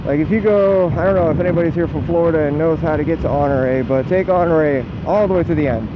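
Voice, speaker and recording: A man's voice: on the high side, fast talker. A man in his twenties with an American accent, chatting casually and unscripted as a motorcycle vlogger, in a rambling, self-deprecating, casually profane way. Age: 20s. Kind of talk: casual unscripted chat while riding. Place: outdoors, riding a motorcycle on the road. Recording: muffled helmet mic with motor vehicle noise. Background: engine and road noise.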